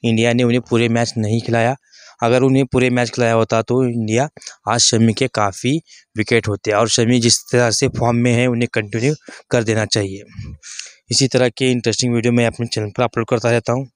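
A man's voice: mid-range voice, little pitch movement, fairly quick, smooth, no echo, clean studio sound. A man hosting and narrating sports reaction commentary for his online audience. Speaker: YouTube channel host and narrator. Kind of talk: scripted-sounding reaction commentary to camera audience.

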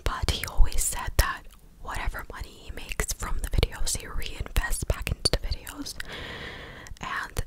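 Young woman whispering close to the mic. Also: measured pace. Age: young adult. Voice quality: breathy, unvoiced whisper. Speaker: ASMR content creator. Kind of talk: whispered ASMR, casual recap of news. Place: small living room, indoors. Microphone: close desktop condenser microphone.

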